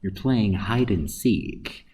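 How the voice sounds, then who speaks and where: A man playing a gruff, scheming worker at a secret facility in a radio play, with a grudging, muttering manner. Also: fairly low pitch, lively intonation, takes it slowly, mellow, heavily acted. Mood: slyly curious.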